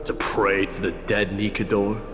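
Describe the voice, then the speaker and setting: Low-voiced solemn warrior, acted by a man, in a hall. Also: level tone, measured pace, natural voice. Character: grave, proud warrior man recounting an old legend. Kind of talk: dramatic video game storytelling. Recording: reverberant hall, music behind.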